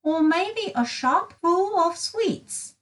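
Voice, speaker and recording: Woman as high, indecisive storybook little lady. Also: animated, slow, a bit bright, far from the mic, full character voice. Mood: wondering.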